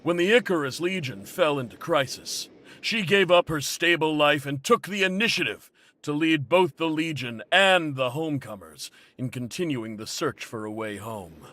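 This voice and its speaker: A man's voice: fairly high pitch, fairly bright tone. A man voicing a bitter, manipulative faction leader in an anime game, theatrical and full of pointed questions. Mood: scornful, rousing.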